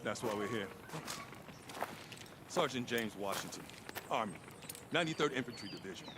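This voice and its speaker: An actor playing a terse, authoritative American army sergeant in a World War II video game. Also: male voice, fairly low pitch, expressive, moderate pace, background noise, natural voice. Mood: commanding. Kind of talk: video game cutscene acting.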